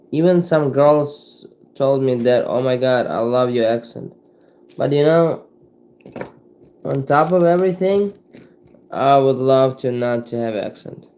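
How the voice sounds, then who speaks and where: A young man from Ukraine with a Ukrainian accent, chatting casually to viewers on a live stream, rambling with halting restarts and lots of "you know". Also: mid-range voice, a little mellow. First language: Ukrainian and Russian.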